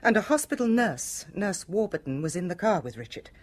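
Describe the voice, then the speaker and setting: Troubled genteel wife, British accent, hesitant, breathy-rough voice, lively intonation, radio play. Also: female voice, lowish voice, fairly quick. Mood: tense, recounting. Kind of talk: radio drama acting.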